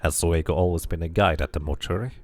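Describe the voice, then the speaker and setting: A man voicing a calm, curious male game protagonist who asks plain questions. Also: sits low, fast, voice pushed down, natural voice. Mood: puzzled, curious.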